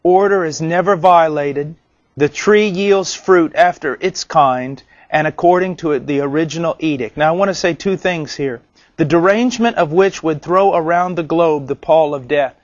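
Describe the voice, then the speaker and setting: Male preacher, somewhat high voice, earnest deliberate sermon delivery, rhetorical questions, dull lo-fi sound. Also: dry recording.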